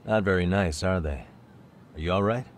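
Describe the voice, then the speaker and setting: An actor playing a calm, streetwise private detective in a video game dub, with a cool, probing, wry delivery. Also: male voice, low voice, normal speed, slight background noise, lightly acted. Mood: gentle, questioning.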